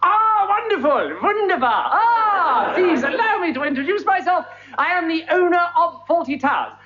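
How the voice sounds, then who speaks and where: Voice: extremely high pitch, big pitch swings, moderate pace, full character voice. A stage actor playing a frantic, rude, blustering hotel owner who stammers and blurts out his words. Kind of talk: live stage farce. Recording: muffled live recording with loud audience laughter. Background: loud audience laughter.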